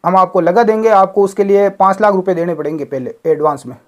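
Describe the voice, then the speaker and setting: A man's voice: high voice, fast. A man talking on a phone call, explaining and questioning, repeating himself for emphasis. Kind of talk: phone conversation.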